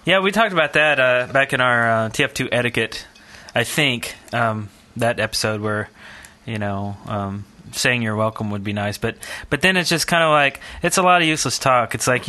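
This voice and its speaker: A man's voice: medium pitch, sharp tone. A man co-hosting a podcast, talking casually and informally, thinking aloud as he goes. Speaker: podcast co-host. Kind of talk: casual gaming podcast chat.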